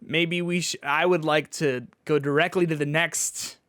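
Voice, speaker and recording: A man co-hosting a podcast, chatting casually about video games in a halting way, often restarting his thoughts. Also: fairly high pitch, steady tone, fairly bright tone, dry recording, clean studio sound. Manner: halting, filler-heavy, starts and restarts thoughts. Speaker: podcast co-host and games reviewer. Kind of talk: casual video game chat.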